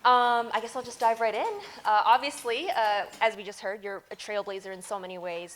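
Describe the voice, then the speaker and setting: A woman's voice: mid-range voice, a bit bright, slight background noise. A woman journalist moderating an onstage fireside chat before a lunch audience, asking polished, prepared questions.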